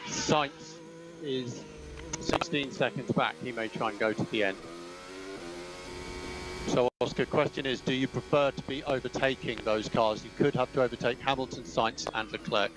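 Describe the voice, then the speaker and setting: A man's voice: mid-range voice, moderate pace, very noisy. A man working as a race engineer, giving clipped instructions over team radio and repeating them.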